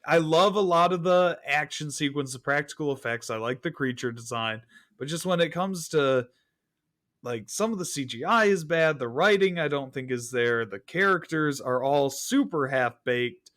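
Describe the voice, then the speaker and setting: Man with a higher-pitched voice, chatting casually. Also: normal speed, fairly bright tone. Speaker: podcast guest. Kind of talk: casual film review chat.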